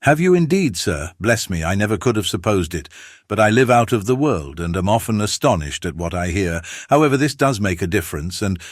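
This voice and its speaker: A man with a British accent playing a fussy, anxious elderly gentleman in a dramatized audiobook, fretting with old-fashioned courtesy. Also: fairly low pitch, very animated, brisk, dry recording, clean studio sound. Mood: astonished, hesitant. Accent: British English. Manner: fretful, courteous, fussing.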